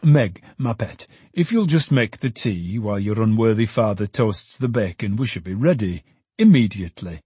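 A man voicing an old male ticket porter who talks quickly and breathlessly, fussing and chattering. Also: lowish voice, animated, warm tone, husky, no echo. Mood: fussy.

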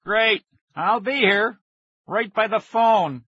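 Man acting a whiny, high-pitched excitable boy. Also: moderate pace, husky, dry recording, highs cut off. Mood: panicked, wailing. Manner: whiny, dramatic, exclaiming. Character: excitable boy, hapless schemer friend, prone to wailing. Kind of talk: children's audiobook character acting.

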